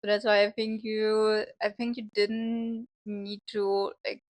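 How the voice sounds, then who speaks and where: A woman with a German accent co-hosting a casual podcast chat, encouraging and quick to agree. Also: mid-range voice, flat delivery, no echo. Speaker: podcast co-host.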